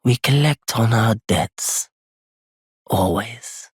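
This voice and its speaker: An actor playing a weary, courteous wandering merchant in a video game, with a husky, breathy, gravelly voice and measured, formal speech. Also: male voice, medium pitch, dry recording, clean studio sound. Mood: grave, menacing. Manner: measured, hushed, formal wording. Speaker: merchant character. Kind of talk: dramatic video game voice acting.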